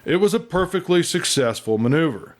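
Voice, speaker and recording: A man reading a western novel aloud as an expressive, folksy storyteller. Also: on the high side, a little fast. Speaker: audiobook reader and storyteller.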